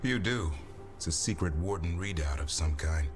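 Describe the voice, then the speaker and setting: A man acting a gruff but protective warrior in a fantasy video game. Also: low voice, animated, moderate pace, music in the background. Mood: grim, businesslike. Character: gruff grown Grey Warden warrior, protective soft spot.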